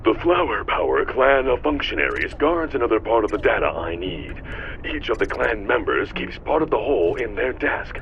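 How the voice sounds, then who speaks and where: A man voicing a commanding, scheming robot newspaper boss in a video game, giving deliberate orders. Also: medium pitch, sing-song, dull audio, a little hiss or hum. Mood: brisk, pleased. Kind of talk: video game character acting.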